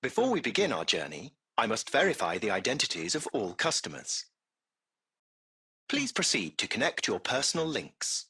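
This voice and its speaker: Polite game ai cab driver, butler-like and composed, raspy voice, really animated intonation. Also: male voice, mid-range voice, normal speed, full character voice. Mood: formal, courteous. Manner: formal, polite, unflappable butler-like phrasing. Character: courteous, composed male AI of a luxury taxi service. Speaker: AI chauffeur. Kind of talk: scripted video game dialogue.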